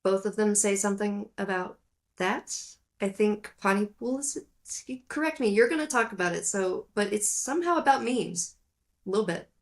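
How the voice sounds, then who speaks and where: A woman's voice: mid-range voice, lively intonation, moderate pace. Playful woman in casual film chat. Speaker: podcast co-host.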